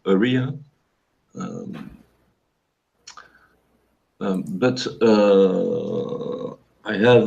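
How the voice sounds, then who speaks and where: Slow halting French man, gravelly. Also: lowish voice, darkish tone, dull audio. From France. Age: 50s. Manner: halting, many "uh" pauses while searching for words. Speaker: interview guest, programmer. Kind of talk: casual interview over a call.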